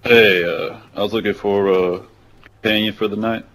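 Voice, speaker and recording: A man making a prank phone call in a deadpan, hesitant, drawn-out way. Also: fairly low pitch, faint music behind. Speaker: prank caller.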